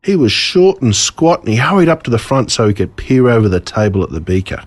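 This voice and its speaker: An Australian man reading a scripted children's storybook in a dramatic, deliberate way, relishing the gruesome parts. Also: mid-range voice, big pitch swings. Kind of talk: scripted storybook narration told as a boy.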